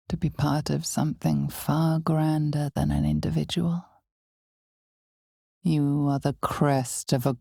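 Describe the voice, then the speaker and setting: An ominous female storyteller for a dark fantasy video game. Her voice is low and husky, with a breathy edge. She narrates slowly and deliberately, with knowing, dramatic weight. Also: no room sound, studio quality, exaggerated voice. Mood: solemn, knowing. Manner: measured, deliberate, dramatic, often dropping toward a breathy whisper.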